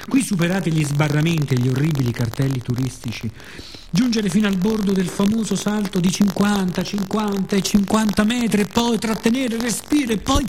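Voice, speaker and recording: Mellow-voiced man performing a dramatic monologue. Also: high-pitched, a little hiss or hum. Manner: dramatic, deliberate phrasing with pauses and repetitions. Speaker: actor performing own monologue. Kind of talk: theatrical poetic radio monologue.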